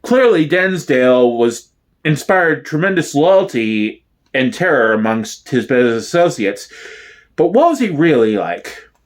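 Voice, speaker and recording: A man's voice: mid-range voice, sing-song, pitched below the performer's own voice. A man playing a formal television interviewer who questions gangland witnesses in a mock documentary. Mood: serious. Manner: measured, formal, inquiring. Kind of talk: mock documentary comedy reading.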